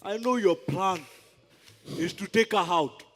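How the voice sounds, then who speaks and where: A man's voice: high-pitched, a little slow, fairly bright tone, long reverb tail. A man with a Nigerian accent playing a defiant, indignant rival for a throne in a declamatory stage play, grandiloquent and bombastic. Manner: grandiloquent, alliterative insults.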